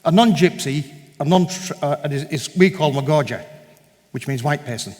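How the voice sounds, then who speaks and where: A man's voice: a little high, moderate pace, darkish tone. A man giving a conversational, storytelling talk to a church congregation, with many digressions and quoted exchanges. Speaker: guest speaker at a church meeting. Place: large church hall. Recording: long reverb of a large hall.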